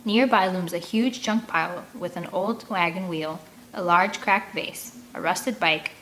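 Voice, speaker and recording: Expressive woman reading aloud, noisy recording. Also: fairly low pitch, a little slow. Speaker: read-aloud host and narrator. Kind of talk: expressive audiobook narration with casual asides.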